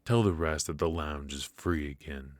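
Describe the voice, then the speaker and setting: A man playing a smug, menacing mafia boss in an ASMR roleplay, courteous but ruthless, smooth-talking and teasing, with deliberate drawn-out pauses. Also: very deep voice, dry recording. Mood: mocking, controlled.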